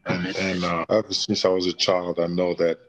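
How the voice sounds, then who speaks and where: Deep-voiced congolese-born painter guy, pretty monotone, restarts a lot while he thinks. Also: normal speed. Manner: thoughtful, with many restarts and fillers. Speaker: painter and former basketball player. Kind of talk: remote interview answers. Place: small plain room indoors.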